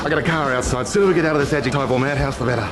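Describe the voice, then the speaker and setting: A man's voice: medium pitch, very fast, lightly acted. A male actor playing a brash, hard-drinking, cynical Australian man in a radio play, with blustery slangy talk. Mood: jovial welcome. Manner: blustery, slangy, chuckling, swearing. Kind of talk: BBC radio play acting. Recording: radio play with loud music underneath. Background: orchestral film soundtrack, city and vehicle sounds.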